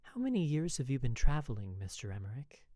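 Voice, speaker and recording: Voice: medium pitch, big pitch swings, a little fast, mellow, no room sound, clean studio sound, lightly acted. A performer voicing a shy, nervous, deferential woman of twenty in an audio drama. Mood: shy, polite.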